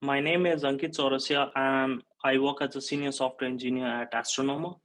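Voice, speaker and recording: A man's voice: mid-range voice, fairly quick. Man from Nepal giving a tech talk. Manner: steady, explanatory, frequent "uh" fillers. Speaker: conference speaker, software engineer. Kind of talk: recorded technical conference talk. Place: indoor home or office room. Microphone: webcam-style video call framing.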